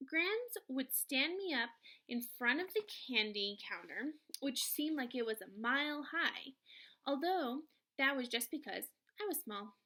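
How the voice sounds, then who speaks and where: A woman reading a children's novel aloud in an expressive, emphatic storytelling manner. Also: high voice. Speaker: read-aloud reader, teacher.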